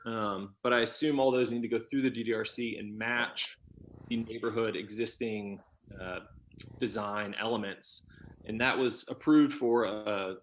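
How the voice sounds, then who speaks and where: Halting man with a lowish voice. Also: a bit bright, slight background noise. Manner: halting, pauses between short phrases. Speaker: applicant presenting a house project. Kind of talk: informal presentation to a commission.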